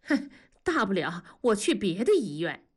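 A woman's voice: medium pitch, sing-song, unhurried, husky. A woman voicing an upset wife in her late thirties, pleading and exasperated. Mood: resolute. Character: upset woman near forty, distraught.

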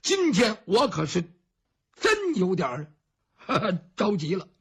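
A man's voice: sits high, huge pitch swings, takes it slowly, fairly bright tone, husky, full character voice. A storyteller voicing an anxious young male servant who stutters and nags his master about money. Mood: worried nagging. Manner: nagging, penny-pinching complaints.

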